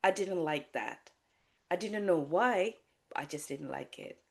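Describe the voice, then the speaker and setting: A woman's voice: lowish voice, lively intonation, a little slow, vocal fry. A woman from Sri Lanka, a life coach, telling her personal story candidly in a podcast interview, with many restarts and fillers. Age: adult with a child.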